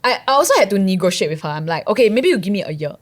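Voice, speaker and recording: A woman's voice: mid-range voice, very animated, racing. A young woman with a Singaporean accent, talking earnestly and a bit dramatically about her choices. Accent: Singaporean English. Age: young adult. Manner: earnest, self-described dramatic. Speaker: guest. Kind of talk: casual podcast chat.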